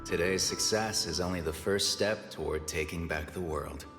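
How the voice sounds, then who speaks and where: A man's voice: low voice, moderate pace, lightly acted. An actor playing a drowsy, wry male scientist in a video game, languid and understated. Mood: wry, relaxed. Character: drowsy, unhurried male scientist fond of naps and drinking. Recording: echoing hall, loud music behind.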